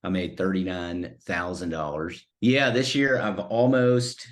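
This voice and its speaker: A man with a very deep voice talks about his work in a casual, eager way. He speaks loosely, with a few stops and starts. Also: a little slow. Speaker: web designer.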